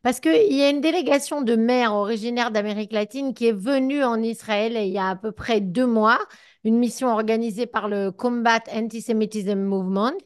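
A woman's voice: on the high side, moderate pace, clear, resonant tone. A woman interviewer putting long, conversational questions to a guest, with some hesitant phrasing.

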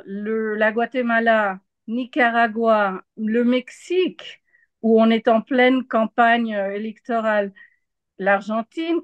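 A woman from Argentina who grew up speaking answers as an interviewed journalist in a dry, close sound. She takes her time, hesitating as she looks for words and doubling them for emphasis. Her voice sits fairly high. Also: dry recording, muffled recording. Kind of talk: live interview analysis.

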